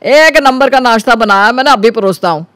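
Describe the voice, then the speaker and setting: Cartoon story role: male actor as sly young flatmate, notably high voice, glib teasing patter, dry recording. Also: brisk, clear, resonant tone, dry recording. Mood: smooth, ingratiating. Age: young adult. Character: cunning, smooth-talking young man scheming against his flatmate. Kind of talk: Hindi animated story dialogue.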